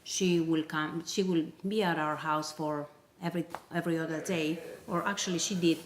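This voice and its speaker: A woman's voice: low-pitched, steady tone, normal speed. A woman from Peru, Spanish her first language, chatting as an interview guest, hesitant with many restarts. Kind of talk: casual interview chat. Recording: noisy background.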